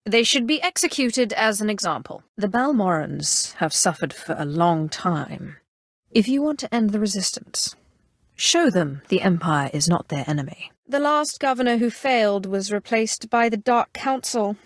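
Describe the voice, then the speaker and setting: A woman playing an imperious, calculating Sith sorcerer in a video game, with a measured, haughty and coolly threatening delivery. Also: mid-range voice, expressive, no echo, clean studio sound, lightly acted. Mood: cool, commanding. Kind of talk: scripted game character dialogue.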